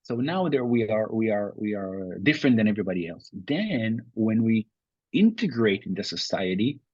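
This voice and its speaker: Israeli man, husky voice, dry sound. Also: lowish voice, big pitch swings, normal speed, a little mellow, dry recording, dull audio. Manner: thoughtful, with frequent restarts and uh fillers. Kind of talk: interview answers.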